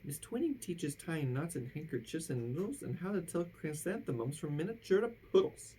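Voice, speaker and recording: A woman reading a children's rhyming picture book aloud with theatrical, drawn-out emphasis at the ends of phrases. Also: deep voice, very animated, warm tone, slight background noise, low-quality recording. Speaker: storybook reader.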